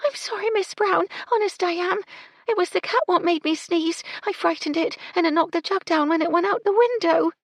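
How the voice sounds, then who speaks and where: A woman's voice: extremely high pitch, moderate pace, no room sound, studio quality, voice pushed up. A woman voicing a shy, dreamy young housemaid who speaks timidly and apologetically in a raised voice. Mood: tearful apology.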